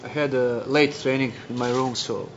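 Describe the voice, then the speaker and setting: A man answering at a press conference with dry jokes and halting, non-native phrasing. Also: mid-range voice, steady tone. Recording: dull lo-fi press audio with faint noise.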